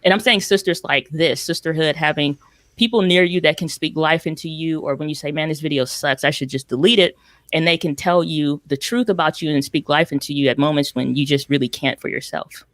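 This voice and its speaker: A woman's voice: sits low, fairly quick, no echo. A woman speaking as a panelist, emphatic and conversational, with many "it's like" asides. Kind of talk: panel discussion.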